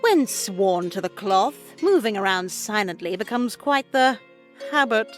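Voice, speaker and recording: A woman voicing a gentle, wry older nun in a visual novel game, speaking in a kindly, measured way. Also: medium pitch, expressive, soft background music, lightly acted. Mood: serene. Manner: kindly, measured, dryly humorous.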